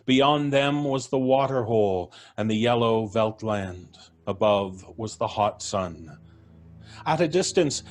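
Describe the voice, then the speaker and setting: A male narrator with a slightly low voice reads a dramatized story. His delivery is measured and grave.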